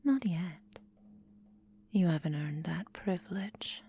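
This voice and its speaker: Casting: woman to play seductive, cruel vampire mistress for ASMR roleplay. Soft, dark-toned breathy whisper, unhurried, possessive menace; faint noise behind. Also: fairly low pitch, animated. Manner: slow, teasing, menacing, drawn-out phrasing.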